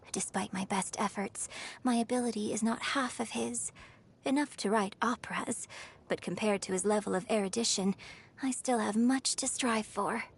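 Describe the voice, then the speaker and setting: A woman's voice: fairly high pitch, a little mellow, slightly rough. A woman playing a refined, gracious young opera singer and troupe director in a video game, with elegant, formal, poetic phrasing. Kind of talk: video game character voice lines.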